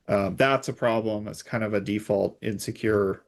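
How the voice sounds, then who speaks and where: A man speaking as an expert guest in an interview, explaining technical security matters in a conversational, measured way. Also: fairly low pitch, fairly even intonation.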